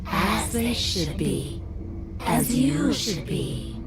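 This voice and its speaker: A woman's voice: medium pitch, sing-song. A woman playing a proud, sinister wrestler possessed by darkness, speaking in formal, menacing lines for a video game. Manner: formal, ominous, deliberate. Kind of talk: theatrical scripted video game dialogue. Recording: loud music bed behind voice.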